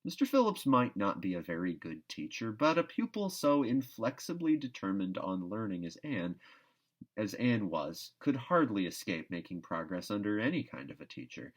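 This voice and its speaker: Low-voiced man reading a storybook aloud. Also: very animated, moderate pace. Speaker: audiobook reader. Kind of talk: expressive storybook read-aloud narration.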